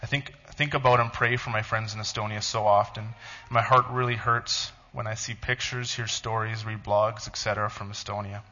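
A man, a pastor, reading a blog aloud to his church in a halting, emotional voice. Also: on the low side, fairly even intonation, pushed and bright, highs cut off. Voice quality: tearful, halting.

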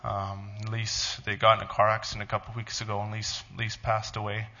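A man, a pastor, reading a blog aloud to his church in a halting, emotional voice. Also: lowish voice, completely flat delivery, pushed and bright, muffled recording. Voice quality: tearful, halting.

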